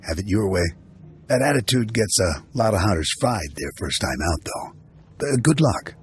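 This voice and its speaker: A man playing a seasoned bounty hunter mentor who briefs in a steady, matter-of-fact way in video game dialogue. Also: lowish voice, normal speed. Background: faint game music.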